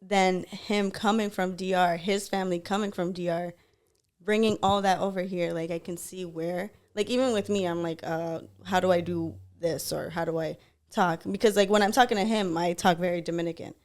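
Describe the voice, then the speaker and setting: Young woman podcast cohost, lower voice, rambly and laughy. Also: fairly even intonation, normal speed. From United States. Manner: chatty, laughs often, many fillers. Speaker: podcast co-host. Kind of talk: casual chat.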